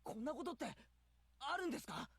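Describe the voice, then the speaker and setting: An actor playing an earnest, uneasy teenage schoolboy in a visual novel, with hesitant phrasing. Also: male voice, very high-pitched, expressive, racing, a bit bright, dry recording, exaggerated voice. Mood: agitated. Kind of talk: Japanese visual novel voice acting.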